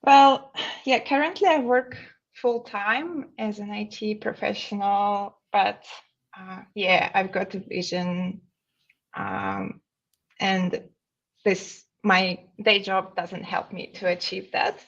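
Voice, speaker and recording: A woman from Russia with a Russian accent, answering casually and somewhat haltingly as an interview guest. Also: mid-range voice, muffled recording.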